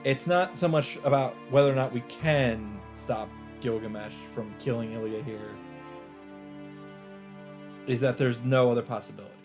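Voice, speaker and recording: Male let's player for visual novel narration, average pitch, earnest expressive read with casual asides, clear music bed behind. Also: normal speed. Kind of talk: dramatic read-aloud with casual commentary. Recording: background music under the voice. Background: game music.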